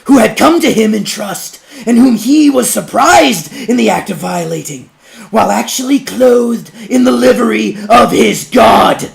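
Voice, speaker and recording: A man playing an imposing orator in an audiobook, loudly denouncing someone in formal, declamatory, mocking tones with a strained, projected voice. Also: high voice, unhurried, gravelly, pitched above the performer's own voice, heavily acted. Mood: thundering accusation. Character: imposing orator denouncing a preacher, loud, righteous, coldly mocking.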